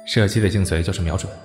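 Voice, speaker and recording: An actor playing an earnest, loyal young army officer in a Chinese audio drama. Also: male voice, sits low.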